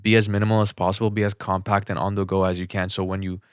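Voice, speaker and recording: A man's voice: deep voice, little pitch movement, fast talker, clear, resonant tone, no echo, studio quality. A man co-hosting a podcast in casual conversation, speaking in a blunt, opinionated way. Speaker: podcast co-host. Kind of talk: casual chat.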